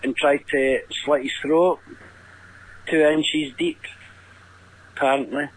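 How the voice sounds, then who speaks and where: A man with a Scottish accent telling an anecdote as a caller, in a gruff, sweary, deliberate manner. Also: fairly high pitch, fairly even intonation, dry recording, rough recording. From Scotland. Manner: gruff, profane, deliberately slow. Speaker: caller leaving a voice message.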